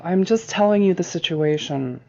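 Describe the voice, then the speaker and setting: A woman playing a matter-of-fact library colleague in a fiction podcast, measured and placating. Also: on the low side, gravelly, darkish tone. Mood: weary, placating. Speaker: actor in an audio drama. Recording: slightly dull, lo-fi sound.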